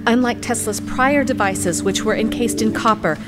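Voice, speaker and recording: An actress playing a determined, eloquent female lawyer in a courtroom audio drama, with a formal, theatrical and deliberate delivery. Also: a little high, lightly acted. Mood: solemn, narrating. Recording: music behind the voice.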